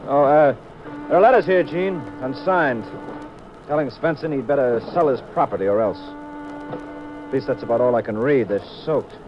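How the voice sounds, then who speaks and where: An actor playing a calm, confident, reticent detective husband in a 1950s radio mystery. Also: male voice, mid-range voice, moderate pace, over music, close to an everyday voice. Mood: pondering. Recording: muffled, noisy 1950s radio recording.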